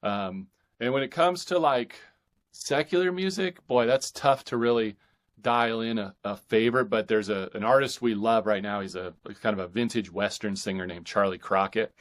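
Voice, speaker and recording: A man in his forties with an American accent and a fairly low voice. He talks casually as a podcast guest, halting to think aloud, with open enthusiasm for what he describes. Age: 40s. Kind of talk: casual interview chat.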